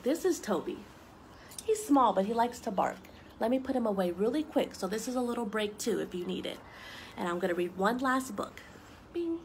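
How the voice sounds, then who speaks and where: Woman, 30s, higher-pitched, animated children's read-aloud with chatty asides; outdoor home video with noticeable background noise. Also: moderate pace, rough recording. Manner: animated, addressing young children with questions. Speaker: storyteller reading aloud to children. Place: outdoor wooden deck with trees around. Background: clear outdoor ambient noise.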